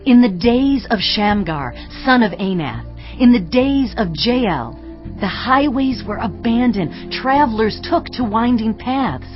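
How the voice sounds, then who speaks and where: Solemn, commanding prophetess, music behind. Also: female voice, a little high, animated, measured pace, dull audio. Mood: exalted, declaiming. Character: authoritative female prophet and leader, solemn, exhorting. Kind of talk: dramatized audio Bible acting, declamatory.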